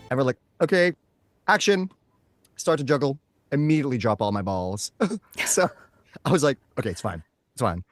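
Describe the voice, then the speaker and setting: Music plays behind a man with a mid-range voice. He is an enthusiastic actor giving a casual interview, effusive as he tells his anecdotes. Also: mid-range voice. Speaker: guest, voice and screen actor.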